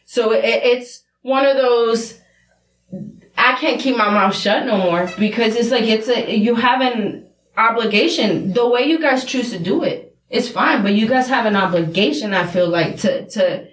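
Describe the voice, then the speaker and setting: A woman in her thirties speaks bluntly and with passion, her voice set a little high. She sits back from the microphone in a roomy space, so the sound carries an echo. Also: measured pace, far from the mic, a little hiss or hum. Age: 30s. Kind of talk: candid group discussion. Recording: roomy sound, away from the mic.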